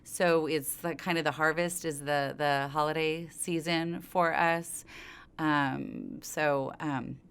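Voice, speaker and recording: Female co-founder, interview guest: low register, clean resonant tone, informal and discursive delivery. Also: steady tone, slow pace, creaky, fairly bright tone, clear, resonant tone. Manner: informal, rambling, circles back mid-thought. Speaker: company co-founder being interviewed. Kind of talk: conversational interview storytelling.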